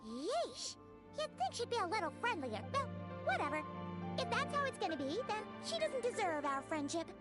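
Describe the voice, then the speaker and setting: A woman voicing a tiny, chirpy, nosy female companion creature in a video game, who excitably talks about herself in the third person. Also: very high-pitched, normal speed. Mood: huffy. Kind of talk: video game cutscene voice acting. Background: loud game music.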